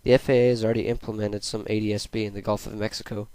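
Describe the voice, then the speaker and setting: A man's voice: on the low side, little pitch movement, rapid-fire, darkish tone, no room sound. A young adult man reading a scripted school presentation as a student presenter, in a plain read-aloud delivery.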